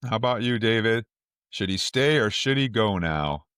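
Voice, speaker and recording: A man's voice: fairly low pitch, animated, moderate pace, a bit bright, clear, resonant tone, no room sound, clean studio sound. A man hosting a podcast, casually moderating a panel discussion and passing questions around. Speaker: podcast host.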